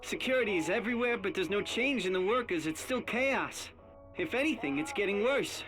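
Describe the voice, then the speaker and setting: Plain-spoken male worker, animated pitch. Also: brisk, soft background music. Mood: panicked. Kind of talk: game audio log acting.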